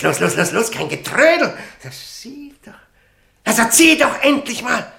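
An actor playing an obsessed, thirst-tormented prisoner who is losing his mind, in an intense, feverish radio drama performance with halting repetitions. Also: high-pitched, vocal fry, bright, forceful tone, full character voice. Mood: manic, frantic. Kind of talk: German radio drama monologue and dialogue.

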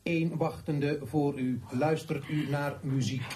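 A man voicing an impersonal automated queue announcement in a radio drama, measured and formal. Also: fairly high pitch, fairly even intonation, over music, close to an everyday voice.

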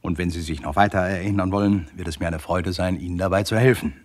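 An actor playing an urbane, wry man who recounts his own case in measured, polite first-person narration for a 1960s German radio play. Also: low voice. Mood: courteous, wry.

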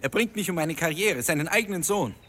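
A man's voice: fairly high pitch, fast talker, pushed and bright. An actor playing an agitated young law student who resents his father, speaking emphatically in a German radio drama. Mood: agitated, admiring. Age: young adult.

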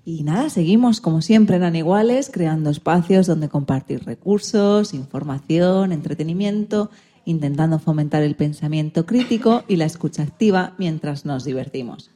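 A woman's voice: low voice, expressive, normal speed, warm tone. A woman hosting a radio program, presenting from a script.

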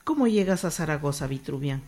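A woman's voice: low voice, animated, fairly quick, a little mellow, soft background music. A woman hosting an informal radio interview, putting questions to her guest. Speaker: radio show host, interviewer.

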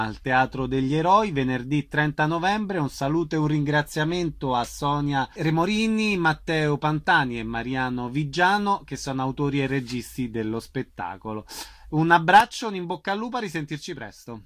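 A man's voice: on the high side, expressive, moderate pace, a bit bright, no room sound. A man hosting a radio interview programme, putting questions to guests and announcing dates.

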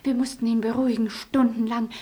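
An anxious French lady in a radio drama. Her high voice is theatrical and tender, pleading and soothing as she protects those close to her. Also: flat delivery, a little fast, warm tone, husky. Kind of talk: German radio play acting.

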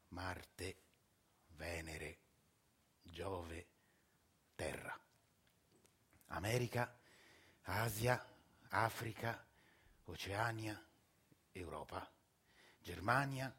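Actor playing a roman guy, 40, quiet, kinda weary, bright sharp voice. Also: low-pitched, breathy edge, exaggerated voice. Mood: hushed dreamy. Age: 40s. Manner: quiet, hushed, plain storytelling. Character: weary working-class Roman man of forty. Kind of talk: theatre monologue acting.

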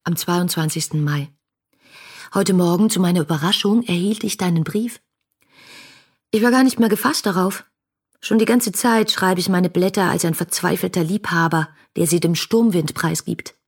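An actress playing a passionate, romantic young woman in a literary radio play, speaking intimately and poetically to her beloved in a husky, breathy voice. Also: on the low side, normal speed. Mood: yearning.

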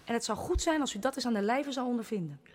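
A woman's voice: fairly high pitch, lively intonation, fast talker, darkish tone. An actress in a Dutch radio drama playing a determined, indignant young village woman who speaks insistently and emphatically. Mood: urgent, pleading.

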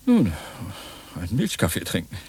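A man's voice: low voice, huge pitch swings, moderate pace, vocal fry, darkish tone, heavily acted. A man playing a mild, resigned uncle in a radio play, hesitant and understated. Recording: faint background noise.

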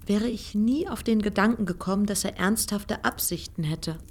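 Reserved, creaky-voiced shy young woman played by an actress. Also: mid-range voice, moderate pace, natural voice. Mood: reserved, disapproving. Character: shy, principled young woman, gentle but firm. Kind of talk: German radio drama acting.

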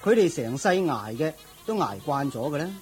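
A man's voice: on the high side, sing-song, normal speed, darkish tone, faint music behind. A man playing a dutiful royal prime minister in a Cantonese audio drama, deferential to his master and dismissive of commoners. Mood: explaining.

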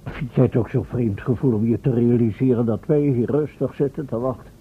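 An actor playing an anxious, exacting professor and inventor in a radio play, speaking formally, impatiently and dramatically. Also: male voice, mid-range voice, fairly quick, husky. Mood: tense, impatient. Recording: old, muffled thin radio recording with noise.

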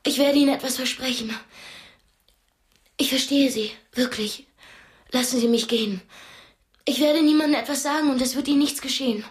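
A woman acting a young female captive in a radio drama. Her voice sits fairly high, and she argues in a direct, articulate way. She is brave and quick-witted, with a pleading edge. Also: natural voice. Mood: pleading, coaxing.

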